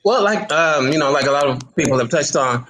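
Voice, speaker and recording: A man's voice: on the high side, animated, a little fast, a bit bright, slight background noise, low-quality recording. A man being interviewed in a casual chat, good-humoured and hesitant, thinking aloud as he goes. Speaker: talk show guest, stage play actor.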